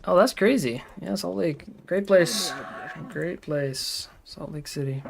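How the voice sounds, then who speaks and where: A man's voice: fairly high pitch, slow pace, gravelly, background noise. A man from Utah with a Utah accent, a game streamer chatting casually with viewers, rambling with lots of fillers and restarts. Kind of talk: casual chat with viewers while gaming. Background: game music.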